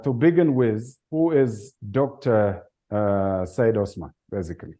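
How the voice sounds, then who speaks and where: A man's voice: fairly low pitch, a little slow, mellow. A man hosting a television interview program, opening with a formal greeting and putting questions to his guest. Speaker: TV talk show host. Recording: dull lo-fi broadcast sound.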